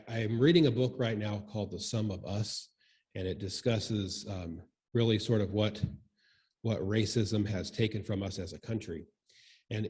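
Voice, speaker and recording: A man giving informal, reflective comments in a group discussion, speaking in a halting, thoughtful and tentative way. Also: on the low side, darkish tone. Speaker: congregation member commenting in church discussion.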